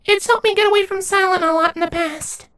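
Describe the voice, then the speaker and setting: Man voicing a squeaky timid young changeling girl. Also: fairly even intonation, fairly quick. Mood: quiet, subdued. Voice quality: falsetto, soft. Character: timid young female changeling nymph, wounded but defiant.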